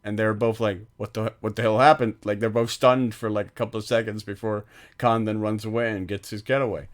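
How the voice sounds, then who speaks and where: A man's voice: fairly low pitch, flat delivery, brisk. A man co-hosting a film podcast, talking casually with many fillers and restarts. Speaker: podcast co-host.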